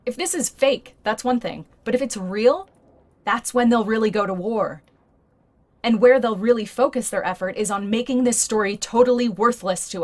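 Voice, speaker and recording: An actress playing a shrewd, blunt journalist in a naturalistic audio drama, speaking frankly and persuasively. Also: female voice, a little high. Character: shrewd, blunt female journalist negotiating a risky deal.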